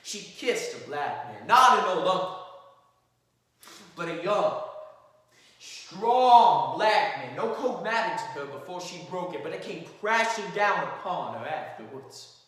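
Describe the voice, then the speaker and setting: A man playing an impassioned defense lawyer in a theatrical courtroom monologue, with emphatic oratory and dramatic pauses. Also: sits high, very animated, fairly bright tone, heavily acted. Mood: grave, impassioned. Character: impassioned male defense lawyer pleading to a jury. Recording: very echoey hall, far from the mic.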